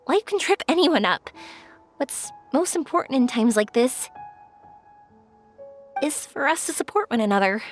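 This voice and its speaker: A woman voicing a kind, earnest young woman in a video game, speaking gently. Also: high-pitched. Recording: music behind the voice.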